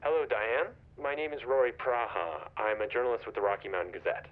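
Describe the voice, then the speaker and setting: An actor playing a polite, probing newspaper journalist in a video game, professional in manner, heard over a phone line. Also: male voice, mid-range voice, a little fast. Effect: phone call filter. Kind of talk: naturalistic video game acting. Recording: tinny, narrow phone line.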